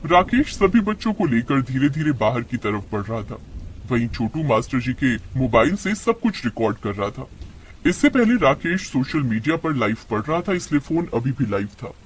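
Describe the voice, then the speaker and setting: Animated male storybook narrator. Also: mid-range voice, normal speed, a little hiss or hum.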